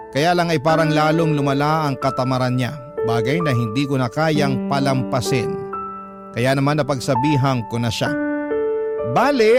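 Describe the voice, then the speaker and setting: A man narrating a scripted personal story as a radio storytelling host. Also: mid-range voice. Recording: loud music under the voice.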